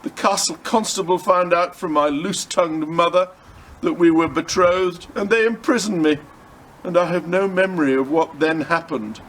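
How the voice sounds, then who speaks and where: A man's voice: on the high side, normal speed, a bit bright, low-quality recording. A man voicing a scornful young Tudor noblewoman in a storybook, with archaic, disdainful phrasing. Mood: indignant.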